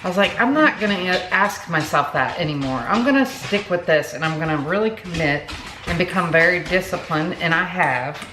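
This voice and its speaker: Forties woman vlogging at home, lowish voice, rambly and chatty, echoey room with noise behind. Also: animated, normal speed. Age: 40s. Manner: chatty, rambling, many fillers and asides. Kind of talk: casual chat to camera.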